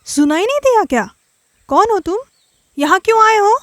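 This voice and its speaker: A woman's voice: extremely high pitch, animated, moderate pace, fairly bright tone, no room sound, studio quality. A woman voicing a haughty, territorial female fish with a bossy, scornful manner in a children's cartoon. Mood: hostile. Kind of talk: Hindi children's animated story acting.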